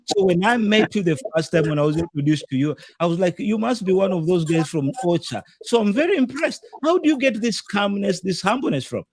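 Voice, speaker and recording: A man's voice: high-pitched, expressive, fairly quick, hardly any pauses, no echo. A Kenyan man from Nairobi hosting an online talk show, hyping his audience and welcoming a guest with enthusiasm. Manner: hyped, enthusiastic promoter. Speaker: host.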